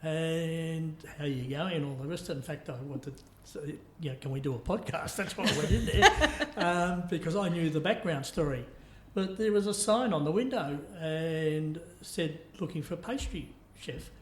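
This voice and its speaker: A man's voice: fairly high pitch, normal speed, hardly any pauses, fairly bright tone, slight background noise. A man interviewing on a podcast in an informal, rambling, conversational way. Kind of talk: casual conversational interviewing with anecdotes. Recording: echoey room.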